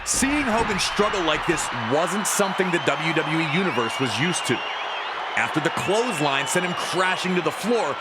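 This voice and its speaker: A man's voice: fairly high pitch, lively intonation, normal speed. A man narrating wrestling matches as a commentator, in a dramatic sports documentary style with an emphatic, suspenseful delivery. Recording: loud crowd and arena noise behind. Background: roaring wrestling crowd, ring slams.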